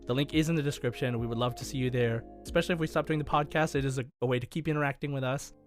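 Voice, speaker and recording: A man's voice: mid-range voice, fairly even intonation, very fast, soft background music. A man hosting a gaming podcast, talking casually. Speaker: podcast host.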